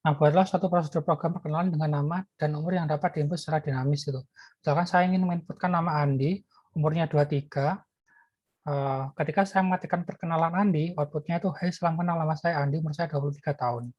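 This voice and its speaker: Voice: medium pitch, fairly even intonation, fast, darkish tone, no echo, muffled recording. A lecturer explaining programming while typing code, thinking aloud with many fillers and restarts. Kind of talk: live coding lecture.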